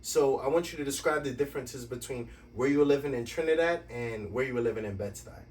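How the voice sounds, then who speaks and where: A man hosting a podcast from a basement home studio, giving lively, playful introductions and questions. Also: medium pitch, quick pace, distant mic. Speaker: podcast host. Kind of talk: podcast interview.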